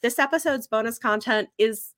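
A woman's voice: a little high, normal speed, a bit bright, no room sound. Woman podcaster with a dry, close sound. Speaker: podcast co-host. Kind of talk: casual book discussion chat.

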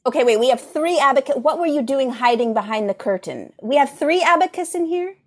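A woman playing a fussy, confused magical sorting hat in a comedy sketch, halting and perplexed. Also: high voice, animated. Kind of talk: improvised comedy audio sketch.

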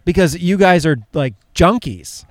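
A man's voice: high-pitched, measured pace, dry recording. Dry-sounding enthusiastic male host. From upstate New York. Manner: stumbling, enthusiastic questioner. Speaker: podcast host. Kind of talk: casual interview.

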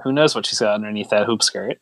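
A man's voice: lowish voice, quick pace, no room sound. A man co-hosting a podcast in casual chat, rambling and joking with a mock-serious manner. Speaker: podcast co-host.

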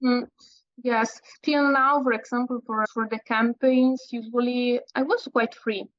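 A woman in her thirties with an Italian accent, answering interview questions in halting English with many restarts. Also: fairly high pitch, steady tone, no room sound, highs cut off. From Italy. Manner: halting, many restarts and fillers, laughs often. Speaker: guest, landscape photographer.

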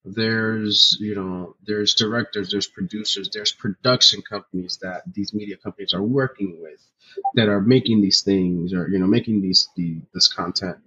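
A young man from New Jersey, a filmmaker guest on a podcast, talking casually in a relaxed, rambling way full of "you know" and "like". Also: lowish voice, moderate pace, slightly rough, dull audio. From New Jersey, USA. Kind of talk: casual interview chat.

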